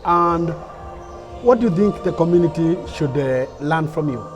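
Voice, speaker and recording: A man's voice: a little high, animated, moderate pace, faint music behind. A man hosting an interview, enthusiastic and formal, with many fillers. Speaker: host, presenter.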